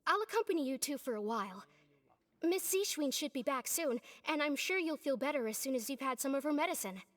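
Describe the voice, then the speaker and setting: A woman playing a prim, sharp-tongued but courteous young lady in a video game. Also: high voice, lively intonation, fairly quick, big-room sound.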